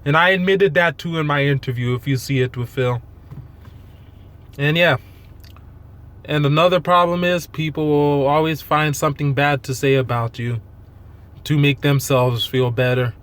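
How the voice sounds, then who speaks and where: A man giving an unscripted, rambling rant in a monotone, halting way, blunt and self-deprecating with casual swearing. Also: a little high. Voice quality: monotone delivery. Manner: halting, rambling, loosely strung thoughts. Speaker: fitness vlogger.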